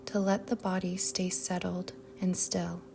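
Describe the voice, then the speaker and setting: Slow, mellow woman guiding meditation. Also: on the low side, little pitch movement, creaky, a little hiss or hum. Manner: gentle, measured, soothing delivery with long pauses. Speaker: yoga nidra meditation guide. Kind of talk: guided meditation narration. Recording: soft music bed behind voice. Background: music.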